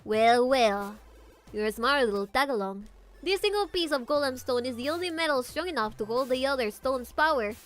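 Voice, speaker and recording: A scheming pirate villain, boastful and theatrical, as a woman streamer reads her lines. The voice sits a little high. Soft game music plays underneath. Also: lively intonation, normal speed. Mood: gloating.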